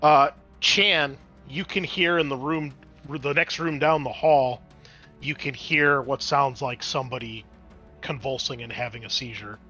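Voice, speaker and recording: A man with a bright voice and mid-range pitch runs a tabletop roleplaying game. He narrates scenes casually and explains the rules in a halting, explanatory manner, with music behind him. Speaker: game master.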